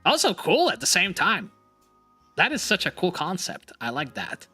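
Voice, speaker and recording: A man hosting a casual chat stream, laughing often and talking in an animated, teasing way; English is not his first language. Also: sits high, moderate pace, slightly rough, soft background music. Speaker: streamer host.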